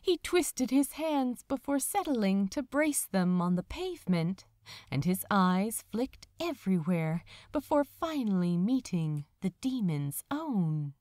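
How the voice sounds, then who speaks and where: Slow, expressive woman reading a story. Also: medium pitch, no echo, studio quality. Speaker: fan fiction narrator. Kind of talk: dramatic scripted audiobook narration.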